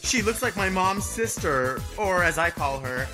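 Woman as slow-talking, catty drag show judge. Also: lowish voice, lively intonation, over music. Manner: deliberate, punning delivery. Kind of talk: parody reality-show judging in radio play.

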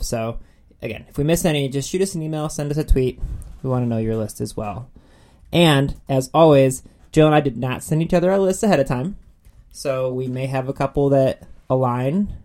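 A man's voice: mid-range voice, mellow. Male podcast co-host, casual book talk, chatty and self-deprecating, mid-range voice.

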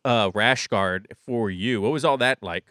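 A man's voice: lowish voice, a little slow, fairly bright tone, no echo, clean studio sound. A man hosting a casual podcast interview, joking and self-deprecating as he asks questions. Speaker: podcast host.

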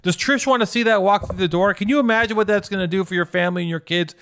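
A man's voice: high voice, fast talker, fairly bright tone, clear, resonant tone. A man co-hosting a podcast in casual comedic banter, profane and teasing, often exclaiming. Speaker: podcast co-host.

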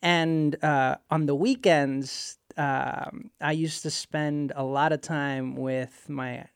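Male podcast guest from Puerto Rico, gravelly fry on a raised pitch, earnest and informal, close dry sound. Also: steady tone, slow pace, no echo. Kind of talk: casual conversational interview.

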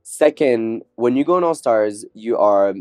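A man's voice: mid-range voice, lively intonation, a little slow, darkish tone, dry recording, studio quality, soft background music. A man, a podcast guest chatting candidly and jokingly, acting out quotes. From France. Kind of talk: casual interview chat.

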